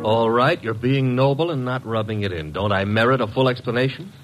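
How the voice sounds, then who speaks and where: A man's voice: medium pitch, brisk, soft background music, natural voice. An actor playing a wisecracking, brash detective's legman in an old radio drama, wry and colloquial. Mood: exasperated, curious. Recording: noisy vintage radio drama recording.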